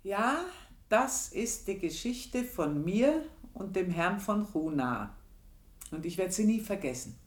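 A woman's voice: medium pitch, sing-song, slow pace. An older actress playing an aging housemaid who tells her story in a radio play, confiding and scornful. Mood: wistful. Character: old female housemaid, bitter and proud.

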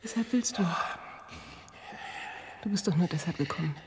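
A woman's voice: on the low side, lively intonation, normal speed, background noise. A woman playing an imprisoned dancer and murderess in a radio drama, curt, intense, bitter and fiercely devoted. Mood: intense, hushed. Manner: curt, intense, often drops into whispering. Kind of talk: German radio drama acting. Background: music.

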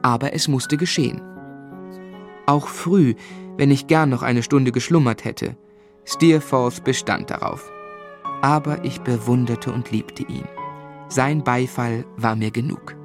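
An actor playing a grown man who fondly recalls his schooldays, narrating a literary radio drama in a measured, reflective way. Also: medium pitch, music in the background, lightly acted.

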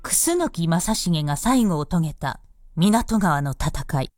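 A woman's voice: lowish voice, lively intonation, slow pace, no room sound, clean studio sound. A woman narrating a radio drama as a scripted storyteller. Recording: loud music behind narration.

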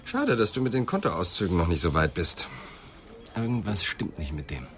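An actor playing a serious, probing police inspector in a radio crime drama, speaking in terse questions and remarks. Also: male voice, low voice, huge pitch swings, normal speed, a little hiss or hum. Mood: probing, intent.

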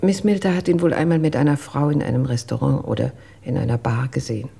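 An actress playing a reserved, dutiful household employee who recounts events in a measured, careful way in a radio drama, with a husky voice. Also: female voice, extremely low pitch, fairly even intonation, dark tone, heavily acted. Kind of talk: German radio drama acting.

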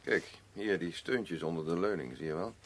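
An actor playing a gruff, defensive working-class father in grief, speaking blunt, halting, colloquial lines in a naturalistic radio drama. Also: sits low, natural voice. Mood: gruff, matter-of-fact. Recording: clearly noisy radio play recording with ambience.

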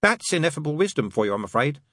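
A man's voice: on the high side, sing-song, fast, fairly bright tone, no room sound, clean studio sound, voice pushed up. A man voicing a prim, fussy, genteel male angel with polite, old-fashioned, faintly pompous phrasing, in an audiobook story. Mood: resigned.

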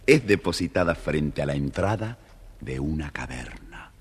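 A male narrator tells a children's tale in a low and notably husky voice. He is measured and dramatic, reading from a script. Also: animated. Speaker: storyteller narrator.

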